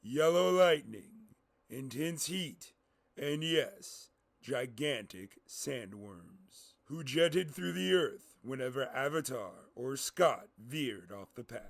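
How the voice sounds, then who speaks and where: A man reads his own scripted adventure story in an unhurried, measured way. His mid-pitched voice carries a gravelly rasp. The recording is dry and close, with faint music. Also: expressive, no echo. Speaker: writer and narrator. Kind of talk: scripted narration.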